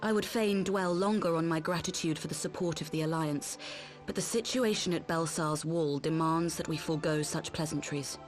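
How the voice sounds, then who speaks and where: A gracious but grave fantasy stateswoman , voiced by an actress, with music faintly behind. Her voice sits low, and her phrasing is stately, formal and measured, in game cutscene style. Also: close to an everyday voice. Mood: gracious, urgent. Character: grave, gracious female leader of a fantasy nation. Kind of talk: video game cutscene acting.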